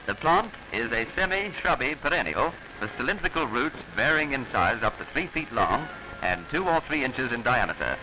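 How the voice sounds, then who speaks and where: Old 1940s aussie training film guy, formal and instructive, lively up and down delivery, music playing behind him. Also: medium pitch, rough recording. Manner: instructive, formal, measured diction.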